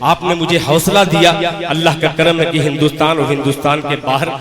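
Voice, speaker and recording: A man, a poet reciting devotional verse on stage, chanting slowly and holding long notes into a microphone. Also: fairly high pitch, little pitch movement, a crowd in the background. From India. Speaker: poet at a religious poetry gathering. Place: outdoor stage at a night gathering.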